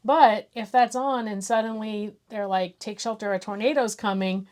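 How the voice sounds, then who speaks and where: A woman's voice: medium pitch, fairly even intonation, moderate pace, a little mellow. An American woman co-hosting a casual gadget review in a chatty, conversational way.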